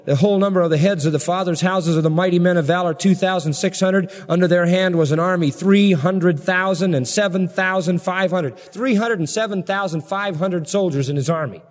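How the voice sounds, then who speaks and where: A man's voice: sits high, a little fast. Male preacher in a reverberant hall. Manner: emphatic, dramatic, colloquial storytelling. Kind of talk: expository sermon with Bible readings and retelling.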